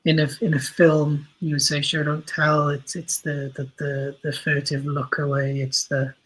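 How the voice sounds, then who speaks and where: A man giving reflective interview answers as a writer, in a halting, emphatic way, repeating phrases for stress. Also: on the high side, monotone, a bit bright, breathy edge, low-quality recording. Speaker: guest, audio drama writer.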